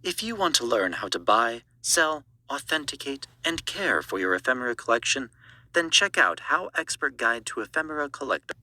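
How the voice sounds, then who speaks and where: Very bright, animated male narrator. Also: mid-range voice, normal speed, dry recording. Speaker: audiobook narrator auditioning. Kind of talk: scripted promotional narration.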